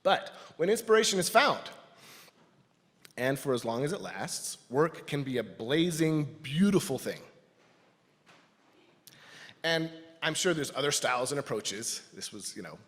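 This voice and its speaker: A man giving a personal, reflective talk to a congregation as a lay speaker, in a thoughtful, halting manner. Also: medium pitch, big pitch swings, a bit bright. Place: large indoor church space. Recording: reverberant hall sound.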